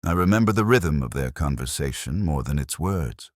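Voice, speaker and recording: A man playing a melancholy, observant older police inspector, narrating a case in a measured, reflective, literary way. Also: a bass voice, expressive, dry recording, studio quality. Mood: brooding, reflective. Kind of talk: scripted first-person detective narration.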